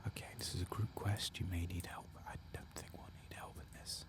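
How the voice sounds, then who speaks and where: A man narrating a game playthrough in hushed, breathy ASMR style, murmuring in an unhurried way. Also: sits low, flat delivery. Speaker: ASMR gaming host. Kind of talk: soft-spoken whispered ASMR game playthrough.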